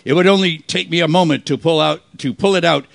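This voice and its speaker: Man playing creaky hardboiled radio private eye. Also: fairly high pitch, fast, no echo. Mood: deadpan narration. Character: wisecracking hardboiled male private detective narrating his case. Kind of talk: old-time radio detective play, performed live.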